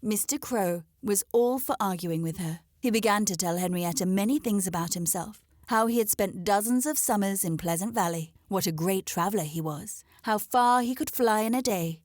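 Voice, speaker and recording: A woman reads a children's story aloud as its narrator. Her pitch sits in the middle range, and the recording is dry and free of echo. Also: normal speed, few pauses, a little mellow.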